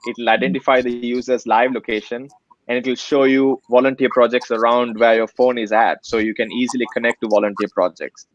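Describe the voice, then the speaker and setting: A man's voice: mid-range voice, fairly even intonation, fairly quick, dry recording, highs cut off. A young man from Sri Lanka, a nonprofit director, earnestly explaining his project in an interview with many fillers. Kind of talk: interview answers.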